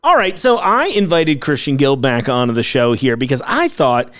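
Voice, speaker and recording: Man, podcast host, casual chat, upbeat, laughs easily, lively swings in pitch. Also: moderate pace. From United States.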